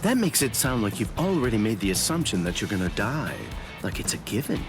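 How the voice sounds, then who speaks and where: An actor playing a sly, mocking villain's lieutenant in an anime game dub, with a teasing, falsely casual drawl. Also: male voice, on the low side, sing-song, brisk, music in the background.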